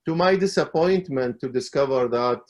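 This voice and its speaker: A middle-aged man from Iraq, a calligrapher, telling his story as an interview guest in a halting way, with many fillers and restarts. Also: mid-range voice.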